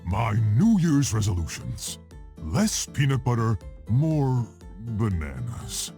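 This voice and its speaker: Big gentle gorilla scientist in a game, low mellow voice, really deliberate. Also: male voice, wildly swooping pitch, vocal fry, exaggerated voice. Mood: earnest, resigned. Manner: slow, deliberate. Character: mild-mannered giant talking scientist gorilla. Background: music.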